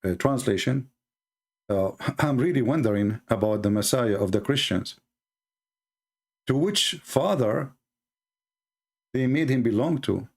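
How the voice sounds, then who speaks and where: A man's voice: mid-range voice, animated, measured pace, gravelly, pauses often, a little mellow. Middle-aged man with an Arabic accent, mid-range voice, combative host ranting in broken English. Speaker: religious debate host. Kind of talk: heated polemical monologue.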